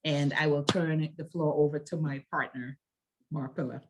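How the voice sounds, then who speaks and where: A woman speaking as a health advocate in a prepared talk, with deliberate, emphatic and measured phrasing. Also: low-pitched, steady tone, a little mellow. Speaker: health advocacy organization leader and panel speaker.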